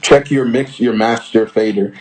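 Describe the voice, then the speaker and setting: A man's voice: lowish voice, moderate pace, darkish tone, poor audio. A man from Trinidad and Tobago hosting a music showcase, giving casual, slangy, encouraging feedback as a producer.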